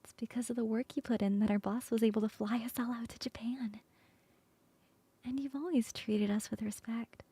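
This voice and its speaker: A woman voicing a flirty female coworker in an ASMR roleplay, close to the mic in a soft, playful whisper. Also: on the high side, steady tone, moderate pace, husky, dry recording. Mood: teasing whisper.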